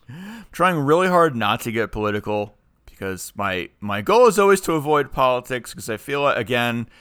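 A man co-hosting a podcast in casual chat, animated and ranting as he makes his point. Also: medium pitch, normal speed, clear, resonant tone, no room sound, studio quality. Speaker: podcast co-host.

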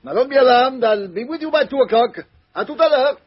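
A muffled old radio recording of a sly foreign detective. His voice is high and slightly husky, with a French-speaking accent and a courteous, hesitant, probing manner. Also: animated, brisk, full character voice. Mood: calm, sly. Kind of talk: 1950s radio mystery acting.

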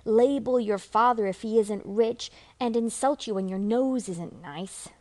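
A woman's voice: a little high, measured pace, a little mellow, voice pushed up, lightly acted. A woman voicing a vain, affected young girl in an audiobook story, petulant and full of prissy dignity. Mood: indignant. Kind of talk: audiobook character acting.

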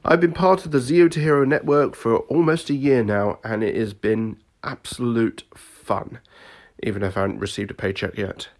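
A man's voice: medium pitch, steady tone, moderate pace. Man giving a jokey promo. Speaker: podcast network promo presenter. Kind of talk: casual scripted promo.

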